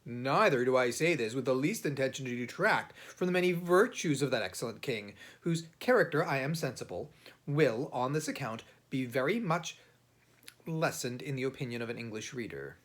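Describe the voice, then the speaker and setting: A man narrating a classic satirical novel as an audiobook reader, in a theatrical, emphatic manner with dramatic pauses. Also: mid-range voice, lively intonation, a bit bright.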